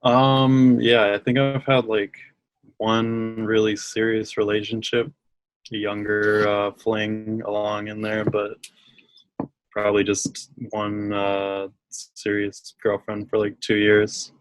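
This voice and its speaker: Slow, flat-voiced young man. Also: lowish voice, no room sound, poor audio. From United States. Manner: laid-back, rambling with many fillers. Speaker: guest. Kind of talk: casual chat.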